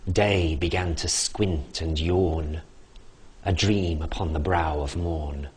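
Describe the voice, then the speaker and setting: A man reciting a rhymed poem in a measured, rhythmic reading. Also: extremely low pitch, fairly even intonation, a little mellow, slightly rough. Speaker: poetry reader. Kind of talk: poetry recitation.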